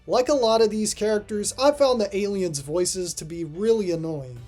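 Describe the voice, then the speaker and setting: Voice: mid-range voice, normal speed, a little mellow, soft background music. A host giving casual, scripted review commentary as a voice-over, with dry, offhand asides. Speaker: host of a video ranking cartoon episodes. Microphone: voice-over recording.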